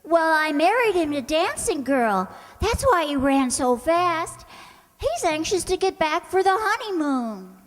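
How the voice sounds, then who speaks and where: A woman's voice: very high-pitched, sing-song, measured pace, heavily acted. A woman playing a sweet, naive, scatterbrained young secretary in an old-time radio comedy, guileless and earnest. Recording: reverberant hall, faint noise.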